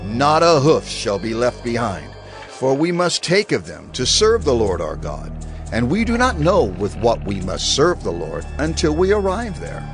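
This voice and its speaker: An actor playing a firm, resolute Hebrew leader in an audio Bible drama, speaking in declarations. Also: male voice, medium pitch, very animated, a little slow, over music.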